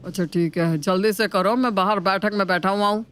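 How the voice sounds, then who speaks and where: A man voicing a thrifty, easily exasperated older father-in-law in an animated moral story, with a scolding, exclamatory manner. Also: sits high, brisk, smooth. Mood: bossy.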